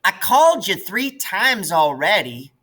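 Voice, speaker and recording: A man's voice: high-pitched, big pitch swings, unhurried, bright, forceful tone, voice pushed up, heavily acted. A man voicing a scrawny, bratty little sister with a prissy, complaining manner in a storybook reading.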